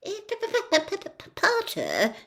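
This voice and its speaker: A woman's voice: very high-pitched, huge pitch swings, a little slow, vocal fry, fairly bright tone, voice pushed up, full character voice. A woman voicing a timid young male teacher who stammers heavily and sounds jittery. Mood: terrified.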